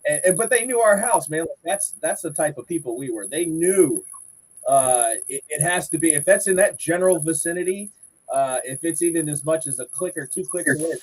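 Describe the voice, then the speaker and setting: A man's voice: a little high, expressive, a little fast, poor audio. A man in his forties from Florida, a podcast guest chatting and telling long rambling stories about his life. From Southwest Florida, United States. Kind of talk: casual chat.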